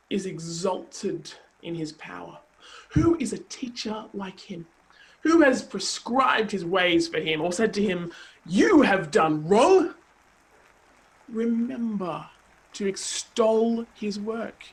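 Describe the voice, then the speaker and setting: A woman playing a zealous counselor who proclaims God's might in a declamatory, dramatic scripture reading with deliberate pauses. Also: mid-range voice, lively intonation, low-quality recording. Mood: grave, solemn.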